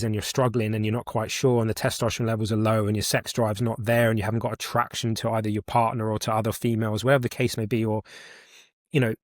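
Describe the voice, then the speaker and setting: A man in his forties hosts a podcast, talking in an eager, emphatic way and often repeating a point to drive it home. His voice sits at an average pitch for a man. The recording is dry and close, with no room echo. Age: 40s. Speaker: podcast host. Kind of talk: casual podcast conversation.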